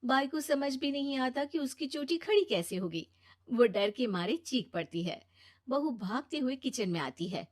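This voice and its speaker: A woman narrator of animated bedtime stories. Her voice sits a little high, and she delivers scripted storytelling lines between the characters' dialogue. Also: moderate pace.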